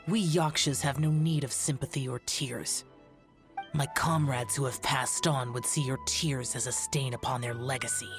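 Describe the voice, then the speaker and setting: A man's voice: mid-range voice, fairly even intonation, normal speed, lightly acted. A male actor playing a stoic, youthful immortal demon-slayer in an anime video game, speaking in a grave, formal, aloof way. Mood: stern, detached. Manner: formal, grave, archaic phrasing. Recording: music behind the voice.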